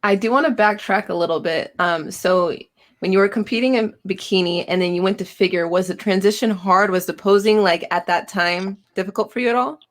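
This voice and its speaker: A woman's voice: medium pitch, fairly quick. A woman hosting a casual interview, asking friendly, encouraging questions. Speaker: interviewer host.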